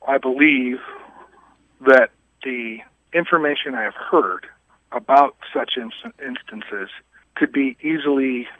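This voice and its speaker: A man answering interview questions on a recorded telephone call, candid, with halting yet emphatic phrasing. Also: mid-range voice, fairly bright tone, no room sound. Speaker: union vice president, anonymous interviewee.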